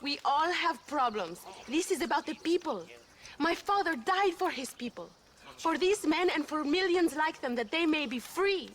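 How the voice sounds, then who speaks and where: A woman's voice: sits high, lively intonation, normal speed, a bit bright. An actress playing a passionate, earnest young Mexican woman revolutionary in a video game, speaking formally and emphatically with a Mexican accent. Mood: impassioned. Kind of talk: dramatic video game cutscene acting.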